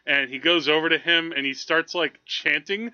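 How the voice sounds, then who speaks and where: A man with a very bright, fairly high voice chats casually as a podcast co-host. He explains things in a rambling, eager way peppered with "like", on slightly dull lo-fi audio. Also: steady tone, moderate pace. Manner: rambling, enthusiastic explaining, frequent "like". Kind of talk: casual film chat.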